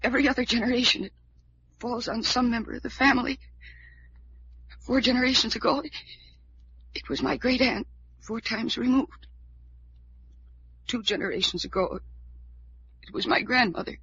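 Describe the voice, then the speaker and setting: A muffled, vintage radio drama recording of a young woman in despair. Her voice is very husky yet sharp, and she speaks in halting, fatalistic, melodramatic phrases as a cursed heiress. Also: a little high, fairly even intonation, heavily acted. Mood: anguished. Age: young adult. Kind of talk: 1940s radio drama acting.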